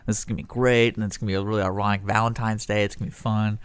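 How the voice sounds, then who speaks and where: A man's voice: on the low side, little pitch movement, very fast, clear, resonant tone, dry recording. A man hosting a casual live radio show, rambling and often interrupting himself as he tells stories. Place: radio studio.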